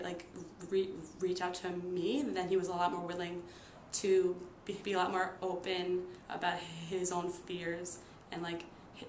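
Young woman with a pronounced stutter. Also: lowish voice. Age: 20s. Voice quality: pronounced stutter with repeated sounds and blocks. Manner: halting, with frequent fillers. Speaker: nursing student and stuttering therapy client. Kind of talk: casual personal storytelling to camera. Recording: roomy echo with noticeable background noise.

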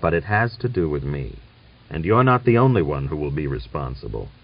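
A man's voice: a bass voice, big pitch swings, a little fast, vocal fry, far from the mic, exaggerated voice. A male narrator plays a proud, hot-blooded cavalry commander in an audiobook novel. His voice is thick and his manner stubborn and defiant. The recording is dull and lo-fi. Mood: stubborn refusal. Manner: stubborn, challenging.

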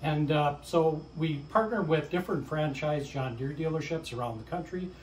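A middle-aged man who leads a farm aid nonprofit, answering interview questions in an earnest, measured way with frequent pauses. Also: on the high side, darkish tone, distant mic, slight background noise. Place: indoor lobby with stone fireplace. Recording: echoey room from off the mic.

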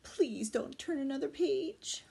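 Storybook role: frightened, lovable furry monster, male, played by a woman; high, swooping, dramatic pleas. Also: lively intonation, measured pace, vocal fry, warm tone, heavily acted. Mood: pleading. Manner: dramatic, pleading, exclaiming. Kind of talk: children's picture book read-aloud.

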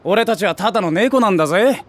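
A high-voiced cocky stray tomcat hustler. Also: male voice, animated, quick pace. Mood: exasperated. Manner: brash, rough street talk. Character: cocky, ambitious streetwise stray tomcat, small-time gang big brother. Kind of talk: Japanese voice drama acting.

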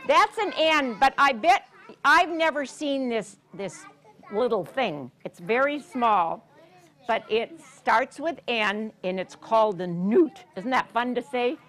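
A woman's voice: a little high, expressive, slow pace, a bit bright. An American woman in her sixties reading to young children at story time in a teacherly, sing-song way, often prompting with questions. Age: 60s. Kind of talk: reading a picture book aloud to young children, interactive. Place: carpeted library program room, indoors.

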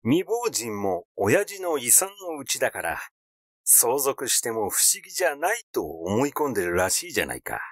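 A flippant young gossip, as a male reader plays him, teasing and sardonic in storybook dialogue. His pitch swings about freely, and the sound is dry. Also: very animated, normal speed, no room sound. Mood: sly, insinuating. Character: flippant, gossiping young man, mocking and glib. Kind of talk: acted dialogue in an audiobook.

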